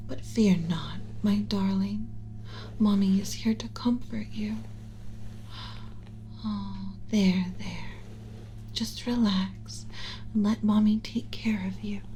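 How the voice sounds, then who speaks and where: A woman voicing a doting alien mother in an ASMR roleplay, speaking softly and often in a whisper, deliberate, soothing and clinical yet tender. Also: mid-range voice, a little hiss or hum, poor audio. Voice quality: breathy, soft, often whispering. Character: doting alien mother lecturing on her human pet.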